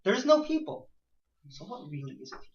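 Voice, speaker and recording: A man's voice: high voice, big pitch swings, a little fast. A man giving an interactive class to a small group, asking rhetorical questions and repeating and rephrasing himself. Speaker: teacher leading a class. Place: indoor room with a few students. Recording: echoey room, dull muffled sound.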